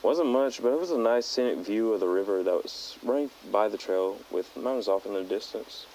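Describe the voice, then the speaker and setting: Teenage male hiker, acted by a man, slightly low voice, reflective, halting, earnest, found-footage audio diary, faint outdoor ambience. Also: lively intonation, a little mellow, poor audio. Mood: calm, reflective. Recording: outdoor tape log with nature noise.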